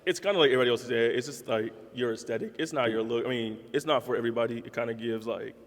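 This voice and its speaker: A man's voice: mid-range voice, rapid-fire. A man in his late twenties, a dating show contestant, blunt but easygoing with many fillers. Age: 20s. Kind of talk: casual chat. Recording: echoey hall.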